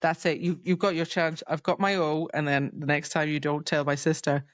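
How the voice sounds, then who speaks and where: Woman, lowish pitch, casual chat, animated storytelling with quoted dialogue, dry room, slightly muffled lo-fi sound. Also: fairly quick, dry recording. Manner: animated retelling, acting out characters' dialogue. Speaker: podcast co-host. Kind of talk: casual chat recapping a fantasy novel.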